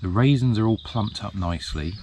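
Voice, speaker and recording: A man in his fifties, chatting casually to the camera outdoors in a field, relaxed and rambling with plenty of ums. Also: on the low side, a little fast, a little hiss or hum. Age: 50s. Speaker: vlogger. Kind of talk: casual vlog chat.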